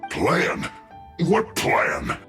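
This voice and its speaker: A man's voice: medium pitch, huge pitch swings, drawn-out words, fairly bright tone, echoey room, faint music behind, heavily acted. An actor playing a burly, aggrieved soldier in a video game, defensively and sheepishly protesting his innocence in exclamations. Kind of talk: video game character acting.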